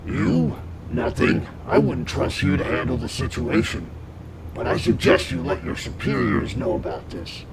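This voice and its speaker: Male actor as low creaky villain, contemptuous, commanding, audio drama, loud murmur behind. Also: animated, a little slow, over a noisy crowd, heavily acted, low-quality recording. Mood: cold scorn. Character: sinister, scornful male informant, coldly commanding.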